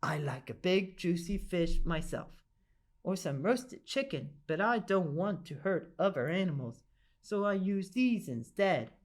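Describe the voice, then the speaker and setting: A woman's voice: on the low side, lively intonation, measured pace. A woman voicing a big grumpy but kind male grizzly bear in a storybook, with a growly, scolding manner. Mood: kindly, explaining. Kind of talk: storybook character voice.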